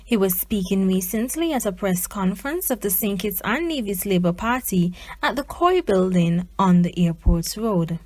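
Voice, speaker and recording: A woman's voice: mid-range voice, lively intonation, normal speed, few pauses, darkish tone, rough recording. A woman reading a scripted news report as a reporter.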